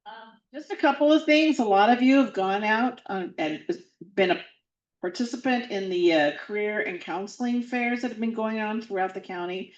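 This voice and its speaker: A woman's voice: mid-range voice, moderate pace, roomy sound, lo-fi sound. A woman giving a casual civics update at a membership meeting, talking loosely with fillers and restarts.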